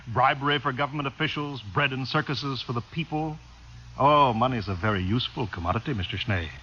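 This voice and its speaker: Man acting oily pompous corporate bigwig, old radio sci-fi, bright forceful voice, muffled old recording. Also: mid-range voice, fairly quick, slight background noise, natural voice. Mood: salesmanlike. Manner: formal, ingratiating, wordy. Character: unctuous, pompous male munitions executive. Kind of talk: 1950s radio drama acting.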